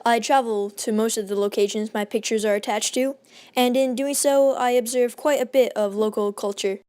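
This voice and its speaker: A woman playing a proud, hot-tempered gentleman in a radio drama, formal and combative in manner. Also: on the high side, steady tone, moderate pace, no room sound, lightly acted. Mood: self-assured.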